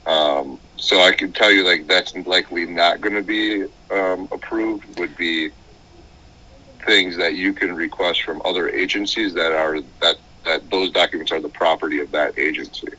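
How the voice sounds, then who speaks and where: Male officer, deep bright voice, halting explanatory phone talk, muffled lo-fi recording. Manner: halting, explanatory, checks for understanding. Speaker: probation officer. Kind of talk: phone conference conversation, explaining procedure. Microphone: recorded phone call. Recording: dull, lo-fi recorded conference call.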